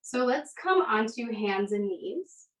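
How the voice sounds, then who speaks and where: A woman leading a yoga class as the instructor, giving gentle, unhurried cues with pauses between them. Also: fairly high pitch, expressive. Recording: echoey room, distant microphone.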